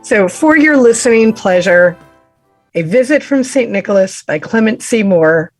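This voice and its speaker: A woman podcast host presenting and reading holiday verse in an expressive storytelling style. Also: fairly high pitch, moderate pace, soft background music. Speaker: teacher.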